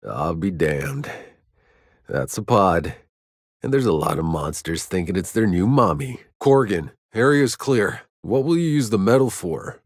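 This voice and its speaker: A man's voice: low voice, no room sound, studio quality, heavily acted. A man playing a sardonic, battle-weary sci-fi soldier hero in a video game, with terse delivery. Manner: sardonic, terse, swears freely. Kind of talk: video game character voice lines.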